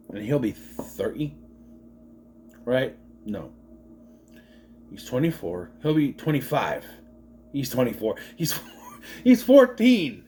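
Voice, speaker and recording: Late-30s male vlogger, middle pitch, animated delivery, tangent-prone, faint room ambience. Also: slow pace, pauses often, slight background noise. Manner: rambling, swears freely, gets sidetracked. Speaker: movie reviewer. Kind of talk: casual review vlog.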